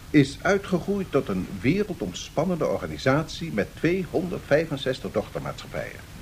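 An actor playing a learned economics professor, speaking formally and deliberately, explaining and cautioning in a radio play. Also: male voice, a little mellow, close to an everyday voice, poor audio. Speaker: professor answering questions. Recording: 1970s radio recording with faint rumbling noise.

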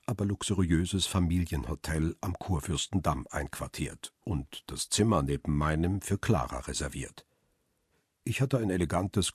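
An actor playing a brooding, middle-aged paparazzo who narrates his own story in a measured, intimate way in a radio drama. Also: low voice, breathy edge, no room sound, studio quality, heavily acted. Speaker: narrator and lead character. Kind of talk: radio drama, literary first-person narration.

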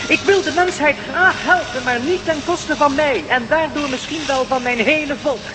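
A man voicing a high, calm alien. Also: moderate pace. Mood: detached. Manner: formal, detached and precise. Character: calm alien survivor, last of his race. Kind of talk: radio play acting. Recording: noisy old radio play recording.

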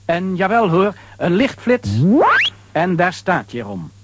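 A man narrating a scripted children's adventure story with a husky voice. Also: high-pitched, sing-song, slow, background noise.

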